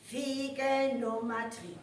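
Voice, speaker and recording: A woman acting a brisk, bossy country woman in a live North Frisian stage radio play. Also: on the high side, flat delivery. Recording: very echoey hall, distant, noisy live recording.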